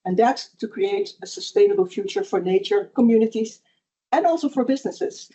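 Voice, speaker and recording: A woman moderating a lecture, introducing the speaker in a polite, measured way. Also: medium pitch, mellow, breathy edge. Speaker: event moderator. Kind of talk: formal introduction. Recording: dull lo-fi sound.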